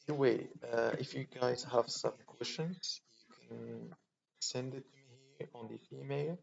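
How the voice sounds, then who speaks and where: Slow, gravelly man, halting delivery. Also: mid-range voice, steady tone. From Poland. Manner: halting, thinking aloud while clicking through. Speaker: tutorial host. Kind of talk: casual screen-recorded walkthrough. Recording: dull lo-fi mic with faint noise.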